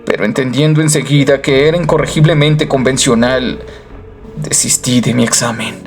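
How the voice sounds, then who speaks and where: A man's voice: medium pitch, steady tone, normal speed, lightly acted. A man playing a tormented narrator sliding into madness, telling his story in a solemn, theatrical way in a horror radio play. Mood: grave, subdued. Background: music.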